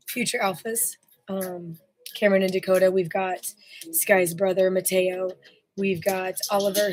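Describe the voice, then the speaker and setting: Author, woman, middle-aged, hoarse and losing her voice, flat delivery, lots of ums, casual live-stream chat. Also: medium pitch, low-quality recording. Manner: halting, many filler sounds.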